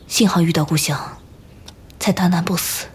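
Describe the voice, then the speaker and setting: An actress playing a guarded, haunted and quietly determined woman in a modern audio drama. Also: fairly low pitch, steady tone, moderate pace, husky, in a whisper. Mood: bitter, haunted. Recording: voice under prominent background music. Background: music.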